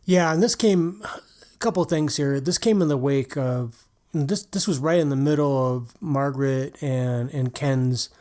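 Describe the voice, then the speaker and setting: A man in a middle pitch co-hosting a book podcast. He talks casually and conversationally, with plenty of "like" and "uh". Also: a little slow, darkish tone. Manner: conversational, with frequent "like" and "uh". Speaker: podcast co-host.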